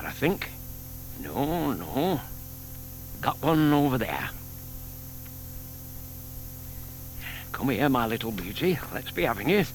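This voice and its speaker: An actor playing a gentle, fond older man in an audio play, murmuring to himself and to animals. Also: medium pitch, slight background noise, exaggerated voice, rough recording. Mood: musing, fond. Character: gentle, fond old neighbour man scheming kindly with tortoises. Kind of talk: audio theatre dramatisation.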